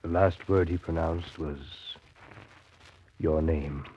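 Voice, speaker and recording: An actor playing a somber, reserved seaman, grave and measured, in a 1930s radio drama. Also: a bass voice, steady tone. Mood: solemn, restrained. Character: somber, reserved seaman consoling a bereaved woman. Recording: muffled, noisy 1930s radio recording.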